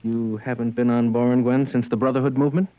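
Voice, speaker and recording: A man's voice: mid-range voice, flat delivery, fast talker, no echo, lightly acted. Man as businesslike government agent, terse, official, 1950s sci-fi radio drama, phone-thin audio. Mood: curt. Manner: clipped, official, unforthcoming. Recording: tinny vintage radio broadcast.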